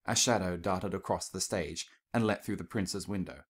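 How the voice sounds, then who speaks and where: A male narrator reads a piece of fiction. His voice sits on the low side. The delivery is scripted storytelling, sentence by sentence. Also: normal speed.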